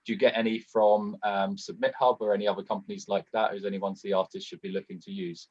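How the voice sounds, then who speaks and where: A man's voice: fairly low pitch, level tone, fast, darkish tone, husky, lo-fi sound. A man acting as moderator, casually reading out questions from an audience.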